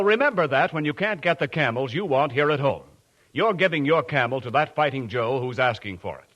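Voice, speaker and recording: A man's voice: on the high side, a bit bright. A man announcing in scripted 1940s radio advertising style, with earnest, emphatic salesmanship. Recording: old 1940s radio broadcast.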